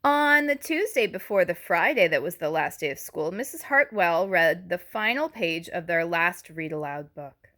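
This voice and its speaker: A woman teacher reading a picture book aloud to young children, deliberately and expressively, with explanatory asides and questions. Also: on the low side, animated, a bit bright. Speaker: elementary school teacher reading to her class.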